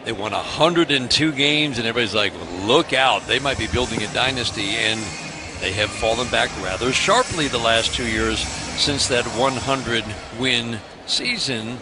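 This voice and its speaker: A man's voice: mid-range voice, moderate pace. American man calling baseball, ballpark noise behind. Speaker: radio play-by-play announcer. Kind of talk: live baseball commentary. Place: stadium broadcast booth. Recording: clear outdoor ballpark ambience noise.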